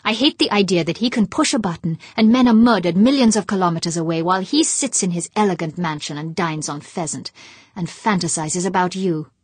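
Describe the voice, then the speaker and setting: Lady reading as an angry husband, snappy and growly, pitched a bit low, husky and dry. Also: lively intonation, moderate pace, no room sound. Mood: furious. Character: furious middle-aged male husband.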